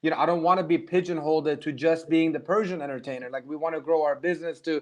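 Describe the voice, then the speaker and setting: A man's voice: fairly high pitch, a little mellow. A man hosting an interview, asking eager questions in a casual chat. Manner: eager, rapid questioning with many restarts. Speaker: interview host.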